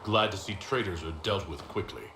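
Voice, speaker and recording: Actor as male adventurer, low voice, resolute, direct, formal, serious, roomy video game dialogue. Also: fairly quick, background noise, lightly acted. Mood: stern approval. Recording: roomy echo, loud music behind.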